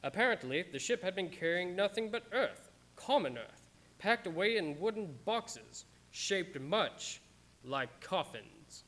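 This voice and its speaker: A man playing the solemn narrator of a gothic horror radio play, with suspenseful, deliberate phrasing. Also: high-pitched, sing-song, a bit bright, exaggerated voice. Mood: ominous, suspenseful.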